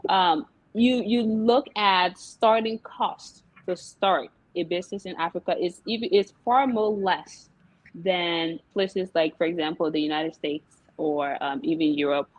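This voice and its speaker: A woman's voice: on the low side, a little slow, no echo, poor audio. A young woman from Liberia, a guest entrepreneur in an interview, talking casually with many fillers and laughs. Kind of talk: interview chat.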